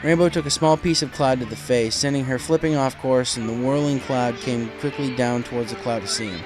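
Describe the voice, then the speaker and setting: A man's voice: mid-range voice, normal speed, rough recording. Male reader for dramatic fiction narration, mid-range voice, music underneath. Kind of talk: dramatic scripted story narration.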